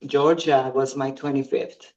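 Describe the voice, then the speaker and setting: Slow, hesitant woman in her fifties. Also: a bass voice, steady tone, a little mellow, dull audio. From Croatia. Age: 50s. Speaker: guest athlete. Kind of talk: podcast interview.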